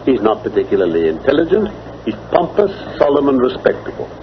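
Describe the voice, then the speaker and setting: Incisive English detective, animated delivery. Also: male voice, medium pitch, fairly quick. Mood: analytical, assured. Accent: British. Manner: precise, deductive, formal diction. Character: keen, incisive English gentleman detective, analytical and assured. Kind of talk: mid-century radio drama acting. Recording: dull, noisy vintage radio recording.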